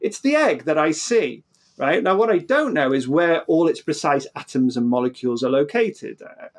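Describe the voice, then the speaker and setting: Guy explaining stuff in an interview, kinda high voice, trips over words and repeats himself a lot. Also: expressive, moderate pace. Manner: stammering, repeats words and restarts often. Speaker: guest physicist.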